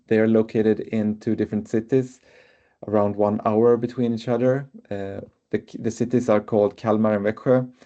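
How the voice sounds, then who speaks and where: A man's voice: mid-range voice, mellow. A man with a Swedish accent, a native Swedish speaker, presenting his university in a webinar with many hesitant filler sounds. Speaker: university representative. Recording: muffled webinar audio.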